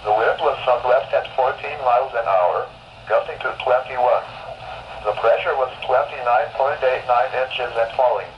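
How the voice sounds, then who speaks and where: A man's voice: mid-range voice, moderate pace, dull audio. Synthetic male weather radio announcer through noisy radio. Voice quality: synthetic text-to-speech voice. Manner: flat, formal, segmented reading. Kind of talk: scripted weather report readout.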